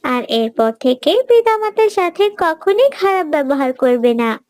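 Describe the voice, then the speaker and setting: A woman's voice: high-pitched, big pitch swings, measured pace, a little mellow, no room sound. A woman voicing a pious, kindly little girl in a children's cartoon, speaking in an earnest, preachy way. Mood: gentle. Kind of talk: children's moral cartoon voice acting.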